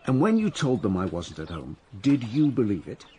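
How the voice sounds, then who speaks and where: An actor playing a wry, imperious diarist narrating a radio drama with sardonic, theatrical asides. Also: male voice, on the low side, wildly swooping pitch, normal speed, mellow, a little hiss or hum. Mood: testy, needling. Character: wry, imperious male narrator-diarist of plague-stricken London.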